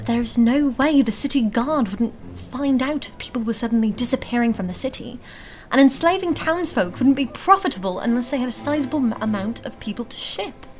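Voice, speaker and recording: A composed, suspicious female adventurer, played by an actress reasons formally in a fairly high voice. The delivery is measured fantasy audio drama. Music runs clearly underneath. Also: animated, a little mellow, lightly acted. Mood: wary, thoughtful. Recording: music behind the voice.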